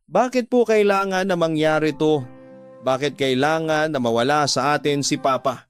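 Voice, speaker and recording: A man's voice: fairly high pitch, normal speed, faint music behind, close to an everyday voice. A man voicing a grieving widowed mother in a radio drama, wailing and pleading in despair. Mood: anguished grief.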